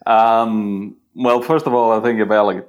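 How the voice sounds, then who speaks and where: Low-pitched middle-aged Danish man. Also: fairly even intonation, measured pace, rough recording. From Denmark. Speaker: interview guest, photographer and writer. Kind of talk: casual conversational storytelling.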